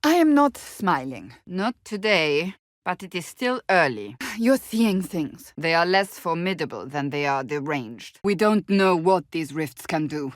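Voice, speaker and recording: A stern fantasy game warrior woman with a lowish voice and a dry, close sound. She is blunt and devout, speaking in formal, clipped phrases with deadpan exasperation. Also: a little slow, hardly any pauses, no echo. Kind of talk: fantasy video game voice acting.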